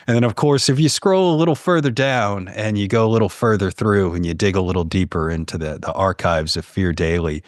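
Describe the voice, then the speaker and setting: A man from the United States chatting as a podcast guest, telling stories casually and pausing to search for words. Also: sits low, dry recording, clean studio sound. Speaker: podcast guest, horror fiction writer. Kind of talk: casual conversational storytelling.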